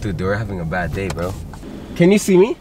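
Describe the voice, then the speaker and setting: Excitable young American man, wildly animated. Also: sits low, fast talker. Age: young adult. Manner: excitable, slangy. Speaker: prank vlogger. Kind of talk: casual chat with friends while filming pranks. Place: inside a car and outdoors by a mall. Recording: noisy car and street ambience.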